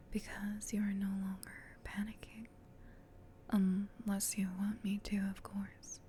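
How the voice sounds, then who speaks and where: A woman voicing a gentle, protective female demon in a soft, soothing whisper for an ASMR comfort roleplay. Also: medium pitch, a little hiss or hum, natural voice. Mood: tender, reassuring. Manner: soothing, tender, reassuring, unhurried.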